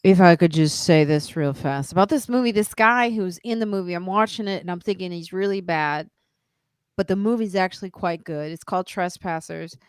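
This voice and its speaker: A woman's voice: lowish voice, moderate pace, clean tone, no echo, clean studio sound. A woman hosting a comedy podcast, telling rambling stories in casual chat. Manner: chatty, rambling storyteller.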